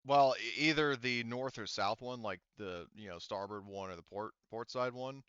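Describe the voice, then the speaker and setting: Guy game mastering a tabletop game, kinda halting, smooth low voice, dry slightly muffled mic. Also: fairly bright tone, dry recording. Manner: halting, explanatory. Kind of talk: casual tabletop roleplaying game narration.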